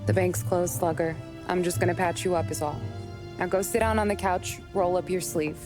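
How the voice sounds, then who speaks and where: An actress playing a tough, teasing young American barkeep's daughter in a period game, sassy and dry. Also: female voice, on the low side, fairly even intonation, normal speed, over music, lightly acted. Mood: caring, wry. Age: young adult. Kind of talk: dramatic video game acting.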